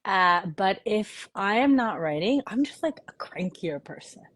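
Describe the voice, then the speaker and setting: A woman's voice: medium pitch, animated, unhurried, no room sound. A woman from New York whose first language was Korean, a novelist guest talking earnestly in a casual interview, often saying "you know". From New York, United States.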